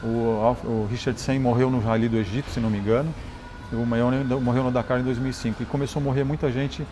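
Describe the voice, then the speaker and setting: A man's voice: mid-range voice, fast. A man from Brazil speaks in a mid-range voice, recounting his engineering and rally years conversationally. He often restarts words and tags sentences with "né?". Faint background noise sits under the recording. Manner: relaxed, repeats and restarts words, says "né?" often. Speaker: guest, motorcycle engineer and rally champion. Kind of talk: conversational interview storytelling.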